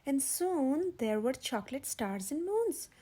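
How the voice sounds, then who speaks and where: A woman reading a children's story aloud as a storytime host, expressive, with dramatic emphasis and a breathy voice. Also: high-pitched, unhurried. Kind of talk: animated children's read-aloud narration.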